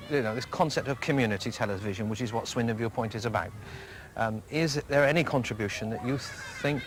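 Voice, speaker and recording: A man interviewing for community television, asking long, halting questions with many restarts. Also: medium pitch, a little hiss or hum.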